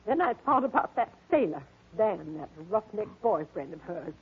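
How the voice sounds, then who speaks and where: A woman's voice: medium pitch, very animated, normal speed, breathy edge. An actress playing a bitter, scheming older woman crook in 1940s American radio drama style. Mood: bitter, confessing. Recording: tinny, band-limited old radio recording.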